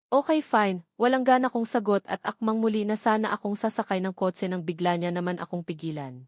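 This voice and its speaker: A woman's voice: mid-range voice, brisk, no room sound, studio quality. A woman reading a first-person romance novel as a scripted audiobook narration.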